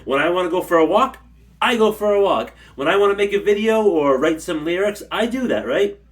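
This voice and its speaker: A man's voice: sits high, lively intonation, brisk. Rambling blunt man ranting to camera. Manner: rambling, blunt, repeats himself. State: stuffy from allergies. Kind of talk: casual rant. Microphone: camera mic.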